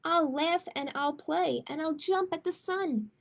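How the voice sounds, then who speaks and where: A woman hosting a children's storytime, reading a picture book aloud and chatting casually, with an expressive, emphatic delivery. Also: high-pitched, a little slow, gravelly.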